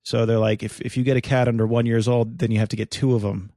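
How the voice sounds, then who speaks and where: A man's voice: mid-range voice, level tone, fast, mellow, dry recording, studio quality. A man co-hosting a casual comedy podcast from his apartment, rambling through fillers and restarts with crude jokes. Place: apartment room.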